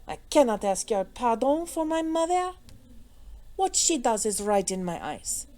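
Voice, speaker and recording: A woman's voice: a little high, sing-song, a little slow, warm tone. A woman voicing a proud, hot-tempered young French nobleman who speaks earnest, formal broken English with a French accent.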